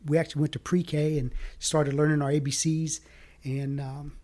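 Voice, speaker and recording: Guy running for local office, greek first language, kinda high voice, lots of uhs. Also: flat delivery, darkish tone. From Fayetteville, North Carolina. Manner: earnest, halting, many fillers. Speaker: city council candidate. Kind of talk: casual interview talk.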